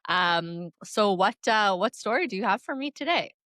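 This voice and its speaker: A woman's voice: mid-range voice, expressive, normal speed, fairly bright tone, no echo, studio quality. A woman hosting a podcast, interviewing a friend in a friendly way and laughing often. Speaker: podcast host. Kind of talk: casual interview.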